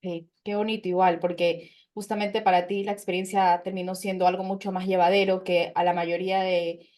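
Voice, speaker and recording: A woman's voice: on the low side, little pitch movement, fairly quick, a little mellow. A young adult woman hosting a conversational podcast interview as a nutritionist, explaining ideas thoughtfully with frequent fillers and restarts.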